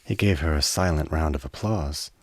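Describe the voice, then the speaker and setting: A man narrating a scripted drama in a measured storytelling manner. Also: very deep voice, noisy background.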